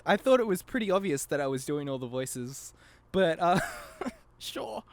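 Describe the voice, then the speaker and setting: A man's voice: a little high, big pitch swings, normal speed, no echo. A young man chatting casually as a podcast guest, giggly and self-deprecating. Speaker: podcast guest, amateur voice actor. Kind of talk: casual chat.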